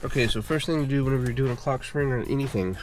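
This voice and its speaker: A congested man hosting a car repair channel, giving a relaxed, casual how-to walkthrough full of fillers while working on a car. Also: mid-range voice, very fast, faint music behind, poor audio. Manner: relaxed, filler-heavy explaining.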